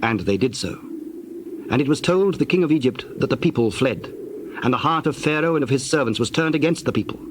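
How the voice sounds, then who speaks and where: A man narrating dramatized scripture from a script, telling the story in a measured way. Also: mid-range voice, animated, a little hiss or hum.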